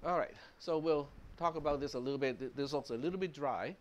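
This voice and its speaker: A man's voice: on the high side, fast talker. A man whose first language is Cantonese, giving a casual, chatty university computer science lecture in a classroom, with plenty of fillers. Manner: relaxed, chatty, lots of fillers, chuckles.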